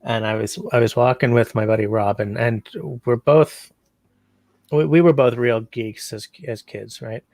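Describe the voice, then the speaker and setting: Male livestream host, gravelly, dry close mic, rambling chat. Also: medium pitch, steady tone, unhurried, darkish tone, dry recording. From Canada. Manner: meandering, reads out chat comments.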